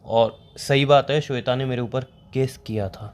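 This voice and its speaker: A man's voice: mid-range voice, fairly even intonation, moderate pace, natural voice. A young man playing a wronged friend in a phone-call drama, defending himself in an earnest, insistent way. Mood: resigned, aggrieved.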